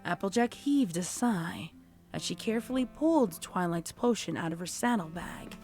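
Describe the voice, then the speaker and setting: A woman's voice: fairly low pitch, animated, moderate pace, a little mellow, faint music behind. A woman reading scripted fiction as a storybook narrator. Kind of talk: scripted audiobook-style horror fan fiction narration.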